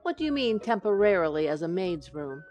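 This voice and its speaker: A woman playing a teasing, quick-witted housewife in an old-time radio sitcom, with wry comic timing. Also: fairly low pitch, sing-song, highs cut off, faint music behind. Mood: coy, teasing. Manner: wry, sharp comic retorts.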